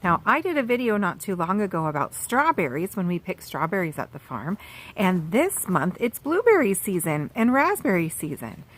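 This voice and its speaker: Animated storytime woman outdoors. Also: on the low side, normal speed, rough recording. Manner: animated and sing-song, asking the listener questions. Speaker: children's author reading aloud. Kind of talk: storytime reading for children. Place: outdoors by a farm garden.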